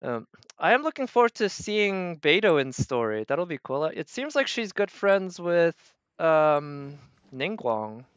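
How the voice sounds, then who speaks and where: A man's voice: fairly high pitch, big pitch swings, unhurried, hardly any pauses, a bit bright, smooth, no echo, dull audio. A man doing casual live game commentary, thinking aloud and trailing off as he goes. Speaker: game streamer.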